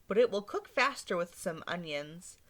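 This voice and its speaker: A woman reading aloud and voicing a clever, hungry young man with a slow, coaxing, persuasive delivery. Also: lowish voice, lively intonation, a bit bright.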